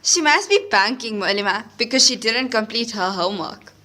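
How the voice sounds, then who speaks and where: A woman's voice: on the high side, lively intonation, moderate pace, sharp tone. A woman playing an eager schoolgirl in a religious class in an audio drama. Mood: eager, earnest. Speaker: student. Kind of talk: scripted audio drama, reading aloud.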